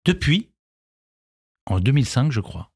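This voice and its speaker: A man's voice: mid-range voice, big pitch swings, unhurried, darkish tone, husky, dry recording, studio quality. A middle-aged man, a film director and actor, answering radio interview questions, often hesitant with restarts before pressing his point firmly. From Israel, grew up in France. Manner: hesitant, many restarts, then emphatic. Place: radio studio.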